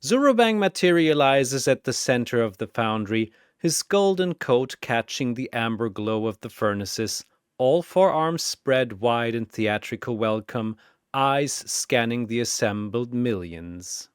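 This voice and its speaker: A man delivers dramatic scripted fantasy narration. His mid-pitched voice is smooth and resonant, moving slowly and deliberately with theatrical swings of intonation. The sound is close and clean. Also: lively intonation, no room sound.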